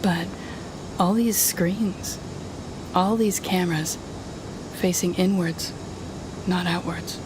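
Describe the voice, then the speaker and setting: A woman playing a smug, controlling telepathic villain in an audio roleplay, taunting the listener in a deliberate, condescending manner. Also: fairly low pitch, fairly even intonation, noisy background. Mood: cold, persuasive.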